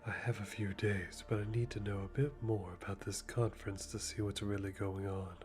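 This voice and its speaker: Methodical male hitman, acted by a man, ASMR monologue: soft, lowish, deliberate, dryly amused, light music underneath. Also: little pitch movement, natural voice. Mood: calm, calculating. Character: calm, methodical male hitman planning a kill.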